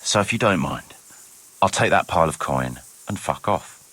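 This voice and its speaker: An actor playing a cynical, roguish mercenary captain in a video game, sardonic and swaggering, with a rough voice. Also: male voice, sits low, animated, moderate pace, bright, forceful tone, slight background noise. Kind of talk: dramatic video game dialogue.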